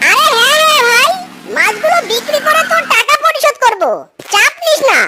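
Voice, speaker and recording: Picture a hapless male fox farmer from a cartoon. The voice is extremely high and childlike, full of folksy exclamations and complaint. Loud music runs behind it. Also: a little fast. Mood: excitable. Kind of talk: Bengali cartoon dubbing.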